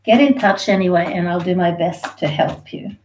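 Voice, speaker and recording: A woman's voice: fairly low pitch, normal speed, darkish tone, a little hiss or hum, low-quality recording. A woman, from Sweden and Australia, giving a chatty, rambling live tarot reading and laughing easily. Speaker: tarot reader.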